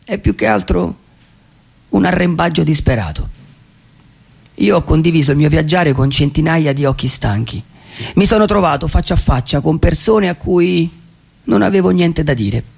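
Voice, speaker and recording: A dreamy male vagabond speaks in a fairly high voice with gravelly edges. He delivers a poetic radio-theatre monologue, halting and repeating himself as he reflects. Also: darkish tone. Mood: pensive.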